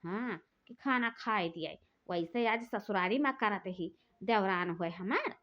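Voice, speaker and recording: A woman's voice: mid-range voice, lively intonation, a little slow, close to an everyday voice. A woman voicing a bossy, quarrelsome elder sister-in-law, with an Awadhi accent, scolding and taunting. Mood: quarrelling. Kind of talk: cartoon voice acting. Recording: dull lo-fi recording.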